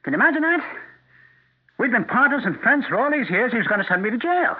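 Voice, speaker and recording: An actor playing a cold, self-justifying husband turned murderer in a 1970s radio drama, speaking in a rambling, repetitive, aggrieved way. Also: male voice, extremely high pitch, big pitch swings, fast, exaggerated voice. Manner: rambling, repetitive, aggrieved, matter-of-fact about killing. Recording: thin vintage radio broadcast, narrow band.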